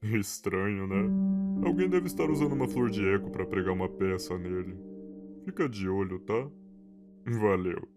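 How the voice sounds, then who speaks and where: A man voicing a laid-back, enigmatic skeleton in a game story, talking casually in a measured, confiding way. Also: on the low side, animated, natural voice. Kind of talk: dramatic character voicing for a story. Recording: prominent guitar music behind the voice.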